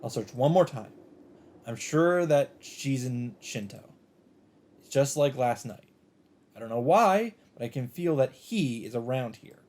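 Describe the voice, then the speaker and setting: A man reading a visual novel script aloud in an expressive narrating style. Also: mid-range voice, a little slow. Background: game music.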